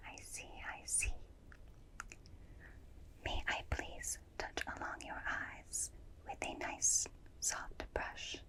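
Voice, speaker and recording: A woman voicing a gentle, curious alien examiner in a breathy whisper, slow and soothing, in an ASMR roleplay. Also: natural voice, rough recording. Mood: curious, fascinated. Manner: slow, soothing, softly clinical, repeats phrases. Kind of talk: ASMR roleplay, personal attention.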